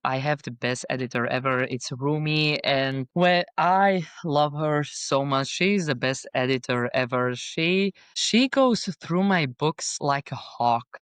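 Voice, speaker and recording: A woman's voice: a bass voice, slow, clear, resonant tone, dry recording. A young woman author whose first language is not English, chatting casually in an interview with many fillers and restarts. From Bosnia and Belgium. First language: not English; speaks French, Dutch, Bosnian. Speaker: guest author.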